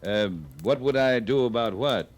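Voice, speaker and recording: An actor playing a world-weary, no-nonsense cavalry captain, curt and dry, in old-time radio western style. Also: male voice, mid-range voice, normal speed, natural voice. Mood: dry, gruff. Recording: noisy old radio recording.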